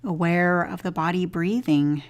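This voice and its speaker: Very slow, low-pitched woman guiding meditation. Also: steady tone. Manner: gentle, unhurried instruction with long pauses. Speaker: meditation teacher leading a body scan. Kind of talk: guided meditation.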